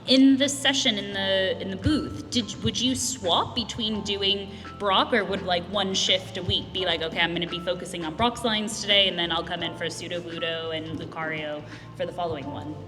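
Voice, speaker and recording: A woman's voice: on the low side, fairly even intonation, normal speed, few pauses, music in the background. A woman hosting a convention Q&A, asking long, chatty, enthusiastic questions. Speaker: convention Q&A host. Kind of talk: fan interview questions. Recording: echoey hall with long reverb.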